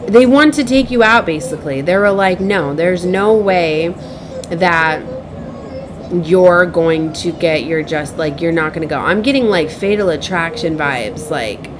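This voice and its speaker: A woman giving an improvised spiritual message as a tarot reader, speaking in a halting, repetitive, earnest and cautioning way. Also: lowish voice, animated, noisy background. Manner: halting, repeats herself, circles back.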